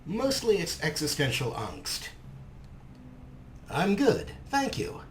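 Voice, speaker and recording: A man's voice: on the high side, wildly swooping pitch, slow, fairly bright tone, slight background noise. A man hosting a let's play, casually commentating and reading dialogue options aloud. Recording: game music behind the voice.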